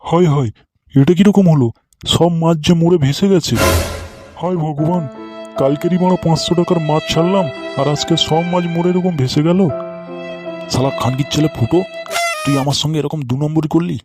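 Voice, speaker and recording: A man's voice: a little high, fairly quick, long pauses, music in the background, natural voice. A man playing a crafty, foul-mouthed older village uncle in a comedy cartoon. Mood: furious. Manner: colloquial, wheedling, swearing.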